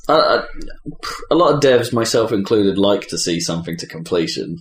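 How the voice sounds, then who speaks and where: A man in casual, opinionated conversation about games, often halting and repeating words as he works out his point. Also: on the low side, lively intonation, a little hiss or hum. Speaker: games podcast panelist; game developer. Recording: echoey room.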